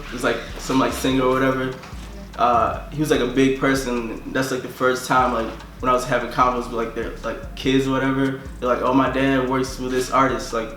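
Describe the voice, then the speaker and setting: A man's voice: medium pitch, fairly bright tone, roomy sound, slight background noise. A young man from Tanzania, a musician talking casually in an interview and filling nearly every phrase with "like".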